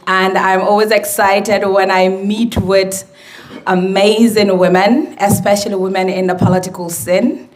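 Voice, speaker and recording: A hoarse woman with a Gambian accent, a radio presenter moderating a formal launch event at a conference centre in an upbeat, chatty way. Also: mid-range voice. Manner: upbeat, chatty, with many fillers. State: hoarse from a flu.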